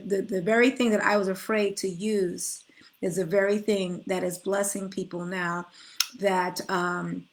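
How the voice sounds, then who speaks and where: A middle-aged woman coach giving an earnest, spiritual motivational talk, inviting listeners to come forward, with frequent restarts. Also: mid-range voice. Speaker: life coach. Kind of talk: motivational direct-to-camera talk.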